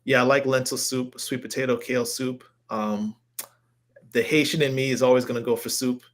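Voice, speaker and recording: A man's voice: mid-range voice, steady tone, normal speed. A man hosting a live show as a health coach, chatting casually and enthusiastically, rambling and repeating himself as he browses. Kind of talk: casual livestream chat while browsing recipes.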